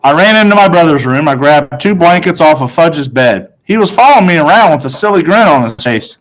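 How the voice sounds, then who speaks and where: Man, fairly high voice, storybook narrator, first-person children's novel reading. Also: expressive, fairly quick, rough recording.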